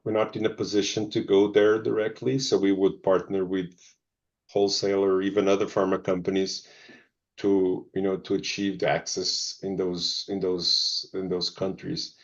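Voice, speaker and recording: Deep gravelly man. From Zimbabwe, Portuguese family. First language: Portuguese. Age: middle-aged. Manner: hesitant, many ums and restarts. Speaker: pharma executive guest. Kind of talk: thoughtful interview answers. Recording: dull lo-fi audio.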